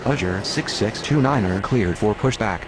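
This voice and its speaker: A man's voice: lowish voice, moderate pace, noisy background, lightly acted. A man playing a pilot and tower controller in a game, with formal, businesslike aviation phraseology. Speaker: pilot and air traffic controller radio voice. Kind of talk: scripted aviation radio phraseology.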